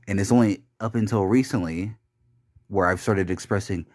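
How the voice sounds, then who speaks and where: Bitter, deep-voiced young man rambling. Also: a little mellow, no echo, clean studio sound. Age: young adult. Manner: bitter and rambling, with heavy swearing; halting, with many restarts and fillers. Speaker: podcaster. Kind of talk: confessional monologue.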